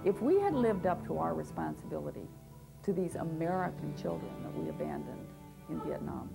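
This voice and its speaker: A woman's voice: on the low side, creaky, low-quality recording. A woman advocate and teacher speaking earnestly and with passion in a documentary interview, sometimes restarting her sentences.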